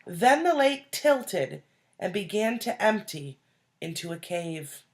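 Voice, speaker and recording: A woman reading a children's storybook aloud like a teacher, deliberately, pausing to explain and put questions to young listeners. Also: mid-range voice, sing-song. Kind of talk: expressive storybook read-aloud with asides and questions.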